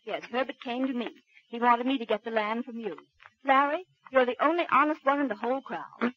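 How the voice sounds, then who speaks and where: A woman's voice: fairly high pitch, a little fast, lightly acted. An actress playing a spirited, outspoken small-town woman, direct and plain-spoken, in 1940s radio style. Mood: confiding, indignant. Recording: dull, hissy 1940s radio recording.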